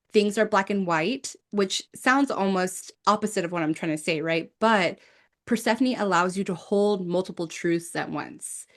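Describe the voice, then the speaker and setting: A woman with a slightly low voice talks as an interview guest. She is earnest and explanatory, thinking through her answers in a reflective, conversational way. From South Korea and the United States. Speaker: guest, Persephone devotee.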